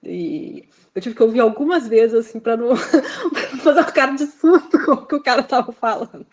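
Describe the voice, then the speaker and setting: A woman's voice: high-pitched, sing-song, moderate pace, pauses often, breathy edge. A woman from Belo Horizonte with a Minas Gerais accent, a guest author talking casually and reflectively, with frequent hesitations. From Belo Horizonte, Brazil. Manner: reflective, hesitant, fills with "assim", laughs often. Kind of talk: casual interview conversation.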